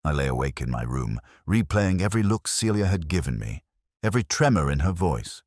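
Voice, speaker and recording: A male narrator tells a detective story in a very low voice. His pitch moves expressively through the sentences, and the sound is a little husky and closely dry. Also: very animated, fairly quick, a little mellow, no room sound. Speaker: audiobook narrator.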